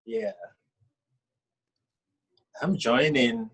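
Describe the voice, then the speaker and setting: A man's voice: mid-range voice, sing-song, drawn-out words, breathy edge. A man hosting a video call, mostly giving short affirming replies. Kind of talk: casual video call chat.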